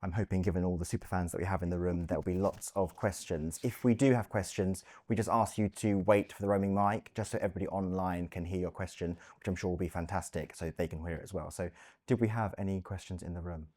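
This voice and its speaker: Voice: deep voice, steady tone, quick pace, darkish tone, no room sound, studio quality. A host moderating a live talk, friendly and organised, sometimes tumbling over the words. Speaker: event host.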